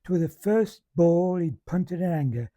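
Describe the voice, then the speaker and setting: A man reciting a comic verse monologue in measured, dialect-rich storytelling fashion. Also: fairly high pitch, animated, mellow, dry recording.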